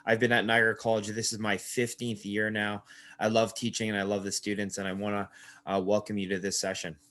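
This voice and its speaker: A man's voice: on the low side, little pitch movement, a little fast, fairly bright tone. A man, a program coordinator, emceeing the session with upbeat introductions. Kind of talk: emceeing online info session.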